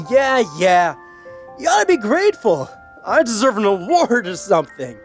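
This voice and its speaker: A woman's voice: mid-range voice, sing-song, a little slow, soft background music. A woman voicing a brash, cocky, grumbling young king in an audio drama. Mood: grumbling, flustered. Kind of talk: audio drama character acting.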